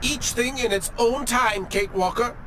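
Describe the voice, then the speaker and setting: A man's voice: very high voice, measured pace, noisy background. A male actor playing a fussy, formal automaton train driver in a video game, speaking with prim, precise diction. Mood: insistent, formal.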